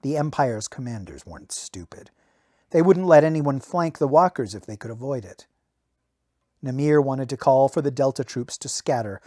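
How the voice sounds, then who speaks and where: A man with a slightly high voice, sounding like a podcast host who narrates books as an amateur. The recording is close and very dry, with hardly any room sound. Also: warm tone, no room sound. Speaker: podcast host reading a novel excerpt. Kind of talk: casual introduction and amateur audiobook-style narration.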